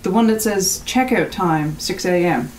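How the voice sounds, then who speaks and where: An actress in an audio drama playing a curt, stressed, critical rental guest, speaking in a blunt, casual and dismissive way. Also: female voice, fairly low pitch, unhurried, a little mellow, slight background noise, natural voice, poor audio. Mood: mildly complaining. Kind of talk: naturalistic audio drama acting.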